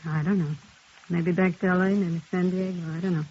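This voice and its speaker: A woman playing a crook's curt, uneasy sister in a radio crime drama, with a husky voice. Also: on the low side, fairly even intonation, fairly quick, darkish tone. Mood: guarded. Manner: guarded, curt. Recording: thin, telephone-like old radio recording.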